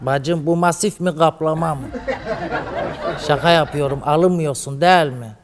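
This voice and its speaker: A man's voice: fairly high pitch, moderate pace, big-room sound, a crowd in the background, lightly acted. A man playing a flamboyant female TV fairy hostess, teasing and brassy, in a comedy sketch. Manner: teasing, flamboyant, calls women "sister". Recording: studio audience behind.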